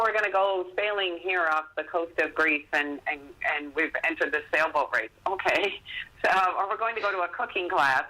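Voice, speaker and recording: A woman's voice: deep voice, rough recording. A middle-aged woman, telling stories casually as an interview guest, chatty and self-deprecating. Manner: chatty, self-deprecating, animated retelling of exchanges. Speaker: podcast guest, author.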